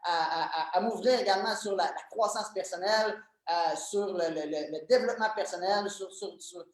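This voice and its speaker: A man's voice: high-pitched, moderate pace. A young man in his twenties with a Québécois French accent, chatting as an interview guest, enthusiastic and joking, with many fillers and repeated words. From Quebec, Canada. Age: 20s. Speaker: guest, MLM coach. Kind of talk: casual interview chat. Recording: very echoey room.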